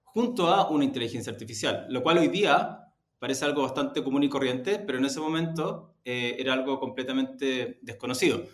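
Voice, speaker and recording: A man's voice: medium pitch, animated, fairly quick, few pauses. A man with a Chilean accent giving reflective interview answers, hesitating as he searches for words. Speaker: interview guest, audio production executive. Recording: roomy, echoey sound.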